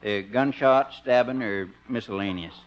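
An actor playing a lazy, amused small-town marshal with a folksy drawl, in a 1950s radio comedy. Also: male voice, medium pitch, moderate pace, close to an everyday voice. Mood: lazily unhelpful. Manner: drawling, folksy, chuckling at own jokes. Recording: muffled old 1950s radio recording.

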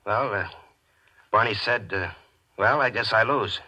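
A man's voice: on the low side, unhurried, bright, forceful tone, full character voice. An actor playing a cold, scheming gambler who tells of his own murder in calculated 1940s radio mystery style. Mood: nervous, explaining. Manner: calculated, confessional first-person telling. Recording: vintage radio broadcast with music.

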